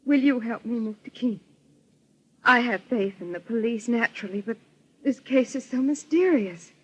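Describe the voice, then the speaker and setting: An American woman playing an anxious, well-bred bereaved daughter in an old-time radio melodrama, speaking earnestly and politely with a husky voice. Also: on the high side, lively intonation, normal speed, low-quality recording. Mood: pleading, polite. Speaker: radio actress.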